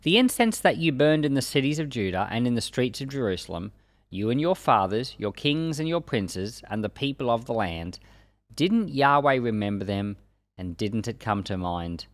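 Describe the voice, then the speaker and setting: A man's voice: medium pitch, big pitch swings, normal speed, dry recording. A man reading scripture aloud as a Bible teacher, in a solemn, emphatic declaiming manner.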